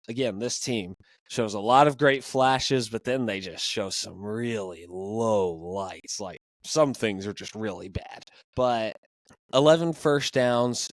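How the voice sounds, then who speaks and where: A hoarse young man hosting a casual sports podcast, ranting with animated, exasperated energy. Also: fairly low pitch, no room sound. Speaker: podcast host.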